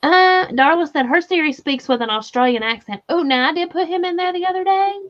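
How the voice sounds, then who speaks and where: A woman's voice: extremely high pitch, expressive, a little fast, soft background music. A woman with a Southern US accent hosting a crochet livestream in casual, laughing chat and reading viewer comments aloud. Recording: slightly dull lo-fi audio.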